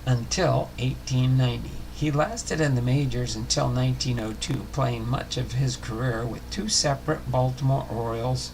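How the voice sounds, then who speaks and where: A man hosting a livestream, talking casually and reading aloud in a halting way with uneven phrasing. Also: medium pitch, fairly even intonation, creaky, noisy background. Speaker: host of a baseball biography livestream.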